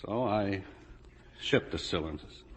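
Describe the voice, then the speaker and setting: A man acting in a 1950 radio drama as a weary, somber prisoner who insists on the truth in halting phrases. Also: deep voice, lively intonation, full character voice. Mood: weary, insistent. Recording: echoey, rough old radio recording.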